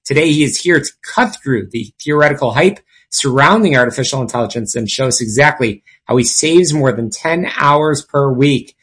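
A man's voice: mid-range voice, a bit bright, breathy edge. A man hosting a business talk show, introducing a guest and asking questions in an upbeat, polished manner. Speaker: show host. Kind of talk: interview hosting.

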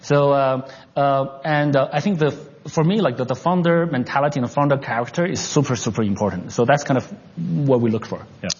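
A man from China who was raised in Canada, speaking as an investor and entrepreneur in an unscripted interview, with halting speech full of restarts and fillers. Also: medium pitch, a little mellow, breathy edge, big-room sound, highs cut off.

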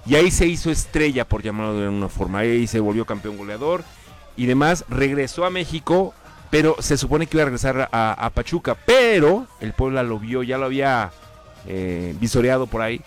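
A man's voice: mid-range voice, lively intonation, a little fast, faint music behind. A man, chatting casually about football on a show, rambling with many fillers. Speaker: sports commentator on a radio show. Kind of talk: casual sports chat.

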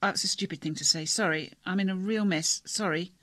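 A narrator voicing a tearful, anxious teenage girl who keeps apologizing, in a high, breathless, rushed way. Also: sharp tone, dry recording, close to an everyday voice. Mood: flustered.

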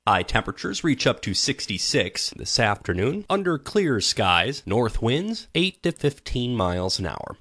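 A man's voice: medium pitch, sing-song, unhurried, few pauses, a bit bright, no echo. A man reading a scripted weather forecast as a meteorologist on the radio.

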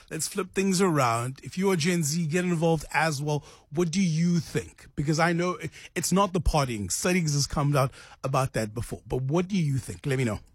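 Chatty morning radio host, 30s, slightly husky voice. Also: male voice, on the high side, no room sound, studio quality. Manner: chatty, asks listeners to call in.

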